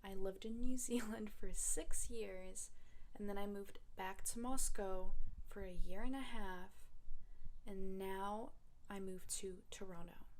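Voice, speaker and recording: Young woman vlogger, unhurried, reflective and rambling, faint background noise. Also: mid-range voice, a little mellow. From Russia. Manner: reflective, rambling with many fillers. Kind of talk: casual personal talk to camera.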